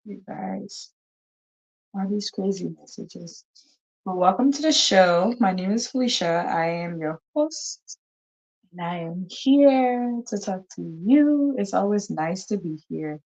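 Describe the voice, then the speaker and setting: A woman's voice: medium pitch, animated, takes it slowly, darkish tone, low-quality recording. A woman hosting a live talk show, chatting casually and repeating herself as she greets viewers, with a cold. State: has a cold, coughing. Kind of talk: casual live-stream chat.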